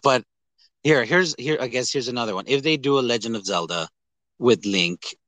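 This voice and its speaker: A man co-hosting a podcast, chatting casually about films and games in an animated, rambling, profane way. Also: medium pitch, moderate pace, no room sound. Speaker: podcast co-host.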